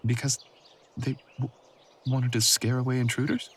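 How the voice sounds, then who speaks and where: A man's voice: medium pitch, level tone, moderate pace, slight background noise, close to an everyday voice. A man voicing a timid, nervous young male student who stammers apologetically in an audio drama. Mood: hesitant.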